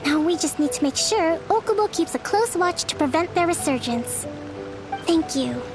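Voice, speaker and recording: High-voiced, composed young female leader. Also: lively intonation. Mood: thoughtful. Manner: polite, measured, formal phrasing. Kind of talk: anime video game dialogue acting. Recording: voice over game music.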